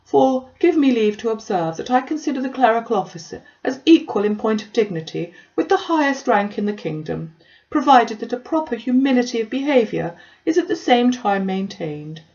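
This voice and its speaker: A woman's voice: on the high side, big pitch swings, normal speed, darkish tone, highs cut off, voice pushed up. A woman voicing a pompous, self-important and obsequious clergyman in an audiobook, wordy and formal. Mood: pompous, lecturing. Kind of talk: audiobook character dialogue.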